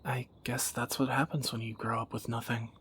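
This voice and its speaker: A man's voice: medium pitch, level tone, moderate pace, fairly bright tone, a little hiss or hum, close to an everyday voice. A man playing a tender, wry young thief in a romantic audio roleplay, teasing and intimate, often dropping toward a whisper. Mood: wry, musing. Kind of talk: romantic audio roleplay, one side of a dialogue.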